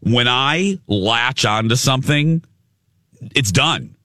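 A male radio host telling a story in casual chat, with a loud, big voice and a habit of cussing. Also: mid-range voice, lively intonation, takes it slowly, no room sound, clean studio sound. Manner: swears casually.

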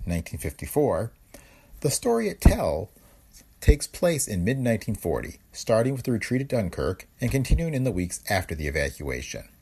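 A man's voice: lowish voice, creaky, a little mellow, husky. A man reads a scripted introduction as a podcast host.

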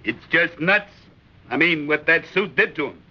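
An actor playing a blunt, sardonic, sceptical merchant sailor in an old-time radio drama. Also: male voice, fairly high pitch, lively intonation, normal speed, husky. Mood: wry, mocking. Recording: dull vintage radio recording.

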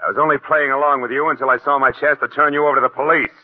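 Man playing a husky, cocky radio con man. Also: medium pitch, steady tone, racing, phone-quality sound, close to an everyday voice. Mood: defiant. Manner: glib, condescending, mocking. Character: cocky, scheming romancer con man, smooth-talking and scornful. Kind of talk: 1940s radio drama acting. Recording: band-limited vintage radio recording.

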